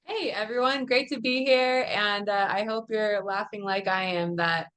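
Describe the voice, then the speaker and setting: A woman's voice: medium pitch, fairly even intonation, normal speed, fairly bright tone. Upbeat woman podcast co-host. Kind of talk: casual podcast chat and firm introduction.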